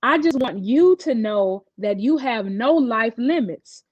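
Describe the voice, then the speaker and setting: A woman's voice: a little high, lively intonation, a little slow, no echo, dull audio. A woman voicing a loving, grandmotherly caregiver in a storybook, tender and reassuring.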